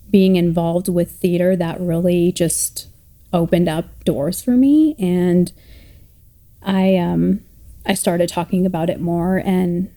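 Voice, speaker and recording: A woman in her thirties from Colorado telling her family story in a reflective, halting way. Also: on the low side, warm tone. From Colorado, United States. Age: 30s. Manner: reflective, halting, with many fillers and restarts. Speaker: oral history storyteller. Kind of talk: personal storytelling.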